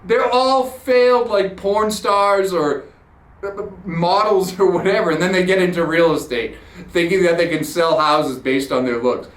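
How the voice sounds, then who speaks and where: A man's voice: high-pitched, measured pace. A man hosting a podcast, telling a blunt, profane, sarcastic story in a ranting monologue. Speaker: podcast host. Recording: roomy echoey room.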